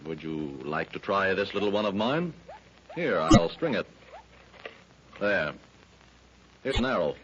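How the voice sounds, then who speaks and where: An actor playing a calm, modest male jungle hero in a 1930s radio drama. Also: low voice, measured pace, long pauses. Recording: dull 1930s radio recording, faint hiss.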